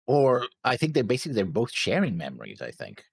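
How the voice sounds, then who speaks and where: A man co-hosting a casual show discussion, offering his theories tentatively and haltingly, often repeating words. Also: medium pitch, wildly swooping pitch, gravelly, breathy edge, no room sound, studio quality. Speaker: co-host of an anime reaction show.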